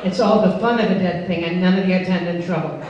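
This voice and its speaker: A woman's voice: fairly low pitch, fast, lo-fi sound, lightly acted. Woman reading as pushy, obsessive dog, echoey hall. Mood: earnest, coaxing. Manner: pompous, wheedling, insistent formal phrasing. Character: obsessive, pushy, self-centred male dog pleading to play. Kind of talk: live comic story reading. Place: live benefit event before a laughing audience. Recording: echoey noisy hall, far from mic.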